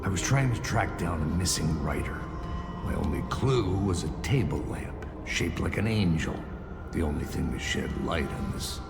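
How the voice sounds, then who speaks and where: A hardboiled noir detective for a game. The voice is extremely low and gravelly, worn out and brooding. Lines come in a grim deadpan, with a roomy echo around them. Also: male voice, heavily acted. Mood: weary, brooding. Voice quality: very deep, gravelly. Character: weary, brooding hardboiled male murder detective. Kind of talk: noir monologue and game drama dialogue. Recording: roomy echo under loud music.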